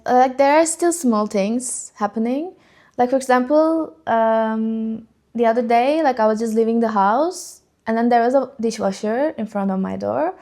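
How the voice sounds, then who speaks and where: A woman's voice: fairly high pitch, darkish tone. A young woman with a Turkish accent, a vlogger chatting unscripted to camera at home, rambling with many ums and likes. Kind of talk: casual unscripted chat to camera.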